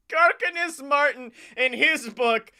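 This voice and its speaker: A man's voice: extremely high pitch, slow, sharp tone. A man with an American accent in casual comedic banter as a podcast co-host, jumping in with jokey riffs. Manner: jokey, riffing interjections.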